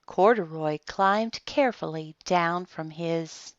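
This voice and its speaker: A woman reading a children's story aloud, with dramatic pauses and deliberate emphasis. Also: lowish voice, no room sound, dull audio. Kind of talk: expressive children's storybook narration.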